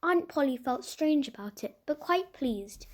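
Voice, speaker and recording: Female storybook reader, high-pitched, narrating children's fiction. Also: expressive, measured pace. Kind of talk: children's novel read aloud.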